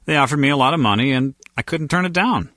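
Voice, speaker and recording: A man's voice: on the high side, fast, bright, forceful tone, no room sound, studio quality. A man voicing a jaded, know-it-all henchman in a video game, explaining things pedantically and easily irritated. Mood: confiding. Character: jaded male henchman, pedantic ex-operative guard.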